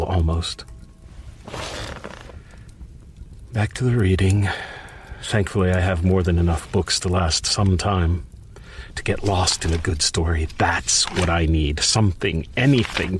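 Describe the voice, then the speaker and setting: An actor playing a grief-stricken, bookish widower who mutters anxiously to himself in a theatrical old-time radio horror monologue. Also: male voice, sits low, moderate pace, a little hiss or hum, close to an everyday voice. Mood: brooding. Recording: music under the voice. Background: prominent music.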